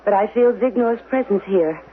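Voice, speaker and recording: An actress playing an anxious mother in a regimented future society, speaking haltingly and stiffly formally in a radio drama. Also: mid-range voice, narrow, tinny audio, close to an everyday voice. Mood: worried, halting. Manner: halting, repeats words, stiffly formal without contractions. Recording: band-limited 1970s radio broadcast.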